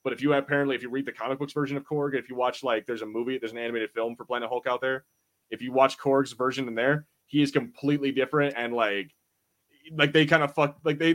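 A man co-hosting a podcast in casual review banter, telling things in slangy, animated fashion and acting out quotes. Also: medium pitch. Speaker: podcast co-host.

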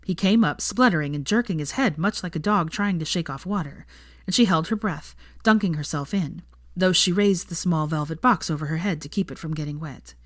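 Woman, story narrator, slightly low pitch, scripted reading, dry recording. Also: brisk, dry recording. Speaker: fan fiction narrator.

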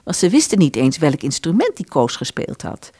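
A woman narrating a literary radio play in an expressive storytelling manner. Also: low voice, moderate pace, slightly rough, no echo, studio quality.

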